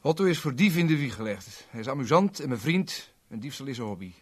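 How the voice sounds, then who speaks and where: Sadistic cocky crook, husky, wildly varied intonation, gloating, vintage radio drama. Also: male voice, mid-range voice, big pitch swings. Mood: nonchalant. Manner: taunting, theatrical, drawn-out phrasing. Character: cocky, sadistic male villain, insolent and gloating. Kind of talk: 1970s Dutch radio drama acting.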